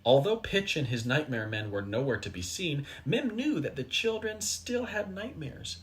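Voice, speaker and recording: A person reading a children's storybook aloud expressively, with dramatic pauses and asides to young listeners. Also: mid-range voice, sing-song. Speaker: storybook reader.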